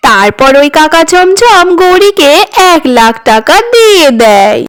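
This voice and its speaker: A woman's voice: sits high, sing-song, a little slow. A woman narrating a cartoon story for children in a sing-song storytelling way.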